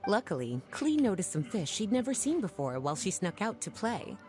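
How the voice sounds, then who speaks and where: A woman voicing a poised, unhurried scholar who explains matters in a composed, measured way, in video game dialogue with game music behind. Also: medium pitch, sing-song, a little mellow, lightly acted.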